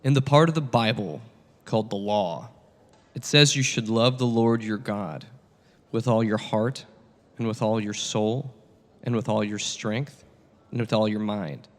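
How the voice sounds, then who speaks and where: A man playing a calm, patient divine teacher in a satirical dramatic reading, speaking in measured, plain questions. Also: lowish voice, big-room sound, natural voice. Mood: calm, firm.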